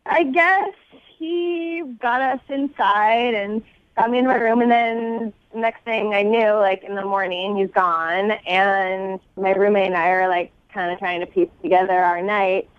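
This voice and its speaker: A woman's voice: medium pitch, fairly even intonation, a little slow, smooth, no room sound. A young woman phoning in, chatting casually in a girlish, filler-heavy way. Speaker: phone-in caller. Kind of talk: casual chat. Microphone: phone. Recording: dull, lo-fi phone line.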